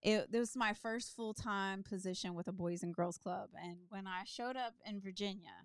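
A woman's voice: mid-range voice, moderate pace, clean tone, no room sound. A woman guest on a podcast, telling a long story in casual chat, full of ums and side stories.

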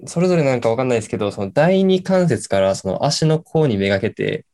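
Guy acting as young late night radio host, mid voice, halting rambly chat full of fillers. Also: mid-range voice, big pitch swings, darkish tone. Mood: musing, rambling. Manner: halting, filler-heavy, then bursts of words.

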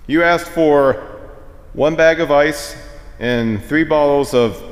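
A man's voice: a little high, slow pace. A man acting in an audio drama as a timid, obedient henchman who is polite, earnest and hesitant. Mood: meek, unsure. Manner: hesitant, deferential. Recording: reverberant hall.

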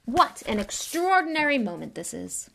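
A woman voicing a vain, showy grown male wizard celebrity in an audiobook, speaking loudly and theatrically. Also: high voice, big pitch swings, moderate pace, vocal fry, voice pushed up, full character voice. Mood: grandly announcing. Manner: loud, grand, theatrical announcing. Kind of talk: audiobook character voice.

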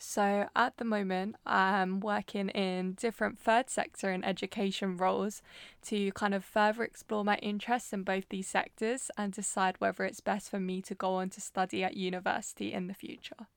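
A woman's voice: mid-range voice, little pitch movement, normal speed, gravelly, clean tone, dry recording. An eighteen-year-old woman on a gap year introducing herself articulately and composedly in an interview.

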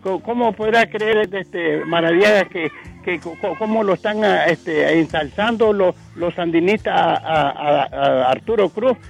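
A man calling in to a radio show, talking haltingly and stumbling over his words. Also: high voice, vocal fry. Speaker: caller. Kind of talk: phone call to a radio show. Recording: dull, muffled phone line.

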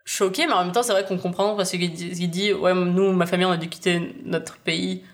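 A woman's voice: on the low side, steady tone, a little fast. A young woman in casual chat as a podcast co-host, speaking slangy speech peppered with English phrases. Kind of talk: casual chat about a TV series.

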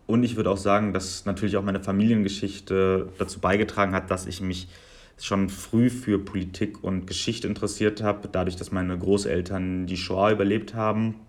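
A man in his twenties from Germany, answering interview questions in a measured, articulate way with long listing sentences. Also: deep voice, little pitch movement. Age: 20s. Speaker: guest.

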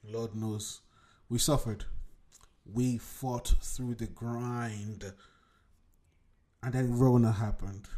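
A young man from Nigeria talks loosely into his podcast mic from a home desk, wandering off on tangents and swearing as he goes. His voice sits a little low, with a mellow, warm tone. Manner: rambling and digressive, swears freely. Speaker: podcast host. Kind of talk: casual solo podcast chat. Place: home room at a computer desk.

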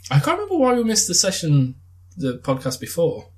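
A man's voice: a little high, wildly swooping pitch, fairly quick, darkish tone. A young man with a blocked-up nose from a cold, chatting casually as podcast co-host, laughing often and swearing freely. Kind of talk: casual chat.

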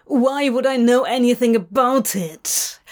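A volatile male demon from a storybook , as a woman reads him, shouting and snarling. His lines come out loud and raw, bitter and pleading at once. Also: fairly high pitch, unhurried, lightly acted. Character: volatile anguished male demon, sharp-tongued.

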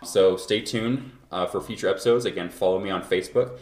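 Low voiced kansas guy hosting his podcast, rambly, roomy echo. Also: fairly quick, darkish tone. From Kansas, USA. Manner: loose and rambling, trails off. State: feeling unwell. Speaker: podcast host. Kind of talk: casual podcast chat. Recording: echoey room recording.

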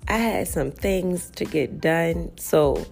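A woman in her thirties hosting a solo podcast, chatty and self-reflective, her voice a little raspy this early in the morning. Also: lowish voice, expressive, slow, darkish tone, soft background music. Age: 30s. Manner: chatty and self-reflective, full of "you know" and "like". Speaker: podcast host. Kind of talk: casual solo podcast talk.

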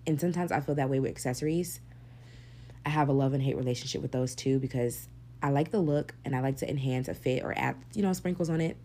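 Deep, gravelly-voiced woman podcast host. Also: quick pace, warm tone. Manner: candid, chatty, swears freely. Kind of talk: casual solo podcast monologue.

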